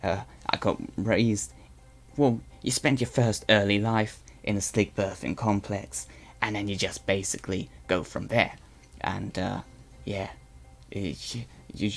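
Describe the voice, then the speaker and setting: A man's voice: lowish voice, creaky. A man voicing a boastful male Slig guard turned propaganda newscaster, with a weird put-on accent and a rambling, stammering way of talking. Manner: blustery, rambling with stammered restarts. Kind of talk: in-character live stream chat roleplay.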